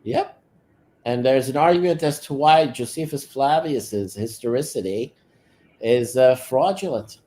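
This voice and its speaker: A man's voice: mid-range voice, measured pace, warm tone. A man whose first language is Hebrew, speaking in a casual chat, hesitant with many filler sounds but insistent on his point.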